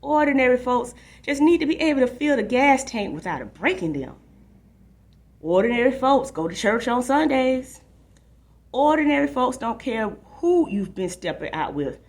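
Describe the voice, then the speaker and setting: A woman voicing a loud, opinionated small-town father in a storybook, blustery and emphatic. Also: sits high, lively intonation, moderate pace, voice pushed up. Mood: ranting. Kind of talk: storybook read-aloud.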